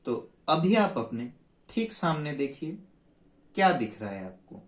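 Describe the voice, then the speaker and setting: A man playing a long-winded helpline agent in a comic skit, formal and polite, with drawn-out pauses, in an echoing room. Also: a little high, sing-song. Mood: patient, unhurried. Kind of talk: comic stage skit acting. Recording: roomy, echoing sound.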